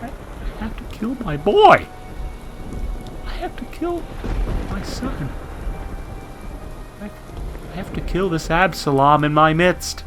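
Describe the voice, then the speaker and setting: Man acting a distraught, quavering, high-pitched president. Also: lively intonation, slow pace, pauses often, over music. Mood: anguished. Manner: quavering, stammering, fervent. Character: distraught, fanatically devout male president, easily overwhelmed. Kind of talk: melodramatic radio drama acting.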